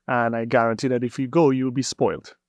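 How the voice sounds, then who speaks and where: A man from Trinidad and Tobago with a Trinidadian accent, a guest telling his story in a relaxed interview and often repeating words as he searches for them. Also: medium pitch, fast, no room sound. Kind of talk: casual interview talk.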